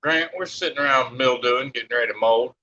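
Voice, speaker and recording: A man's voice: mid-range voice, quick pace, sharp tone. A man in his fifties on a live stream panel, joking and teasing in casual chat, laughing often. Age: 50s. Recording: dull lo-fi stream audio.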